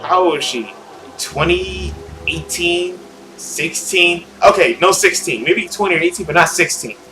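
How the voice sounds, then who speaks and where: A man's voice: high voice, unhurried, background noise. A man hosting a gaming video, reading a visual novel aloud with exclamatory, jokey reactions.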